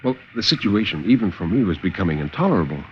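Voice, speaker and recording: A man's voice: sits low, very animated, quick pace, slight background noise. A man with a British accent narrating a scripted first-person horror story in a dramatic 1950s radio manner. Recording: dull, muffled vintage radio recording.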